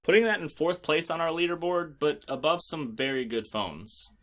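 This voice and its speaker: A man's voice: on the high side, moderate pace, a bit bright. A man hosting a scripted product review, narrating with wry, joking asides. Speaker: tech reviewer and host.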